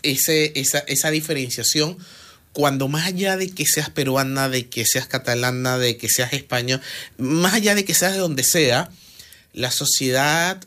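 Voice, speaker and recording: A man hosting a streamed radio program, interviewing a guest and offering measured, reflective commentary. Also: mid-range voice, bright, forceful tone. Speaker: radio host.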